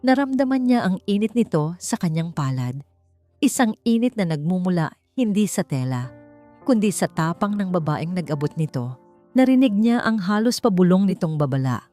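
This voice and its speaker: A woman with a fairly low voice reads a dramatic story as an audiobook narrator. Her delivery is deliberate and suspenseful, landing short phrases with emphasis. Soft music plays behind her. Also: sing-song, no echo. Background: background music.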